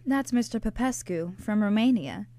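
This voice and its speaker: A woman's voice: medium pitch, animated, moderate pace, mellow, no echo, close to an everyday voice. A woman playing a plain-spoken, tart young American barmaid in radio drama dialogue. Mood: matter-of-fact.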